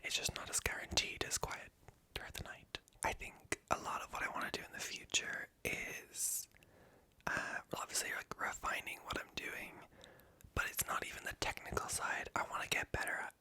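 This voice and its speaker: A woman with a light Irish accent whispers a breathy, self-conscious, stream-of-consciousness ASMR ramble. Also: moderate pace, bright, forceful tone. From Ireland. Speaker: ASMR video creator.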